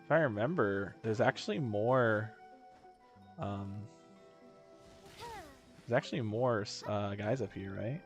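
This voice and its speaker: A man's voice: on the low side, a little slow, pauses often, highs cut off. A man giving casual, jokey gameplay commentary as a streamer, full of slang. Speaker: game streamer. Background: game music.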